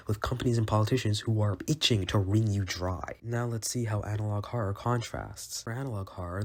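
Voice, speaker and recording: A man's voice: lowish voice, a little mellow. A man narrating a scripted video essay in an opinionated way with casual wording.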